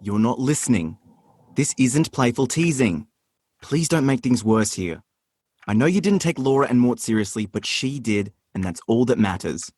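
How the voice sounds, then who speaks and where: An actor in a radio play playing a protective, exasperated young big brother with a blunt, earnest manner. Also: male voice, mid-range voice, animated, normal speed, a little mellow, husky, no echo, clean studio sound. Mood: stern.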